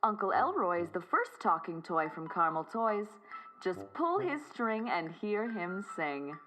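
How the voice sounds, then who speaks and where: An actress playing a cheery old-time radio advertisement announcer with a polished sales pitch. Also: female voice, sing-song, a little slow, fairly bright tone, faint music behind, low-quality recording. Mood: upbeat, selling.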